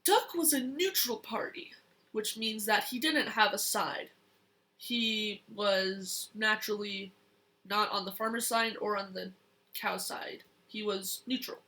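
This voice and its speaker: A young child reading a picture book aloud in a deliberate, expressive way. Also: medium pitch, low-quality recording. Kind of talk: storybook read-aloud.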